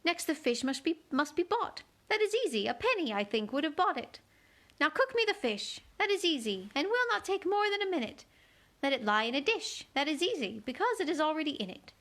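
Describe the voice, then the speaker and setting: A woman voicing a gentle, dotty queen with a soft cooing voice, reading a storybook aloud. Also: high voice, sing-song, brisk, pitched above the performer's own voice, heavily acted.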